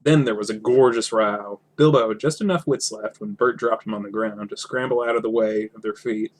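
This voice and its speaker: A man's voice: on the low side, fairly even intonation, fairly quick. A man narrating a storybook aloud, sometimes tripping over words and repeating phrases. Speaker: reader, narrator.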